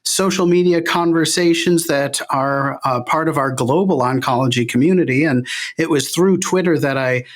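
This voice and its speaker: A man hosting an interview podcast as a medical oncologist, reading his intro and then asking questions in a measured, careful way. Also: on the high side.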